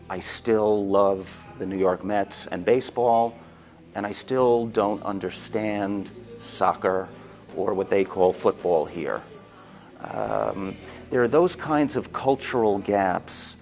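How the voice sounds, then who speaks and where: American man in an interview, low-pitched and unhurried, measured delivery. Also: darkish tone, faint music behind. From United States. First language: English. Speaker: interviewee, organization founder.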